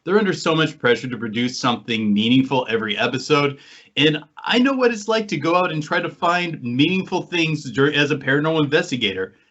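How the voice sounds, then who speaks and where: Guy hosting a podcast, just chatting, voice a bit high, sound slightly muffled. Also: expressive, brisk, fairly bright tone. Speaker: podcast host and paranormal investigator. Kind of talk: casual podcast conversation.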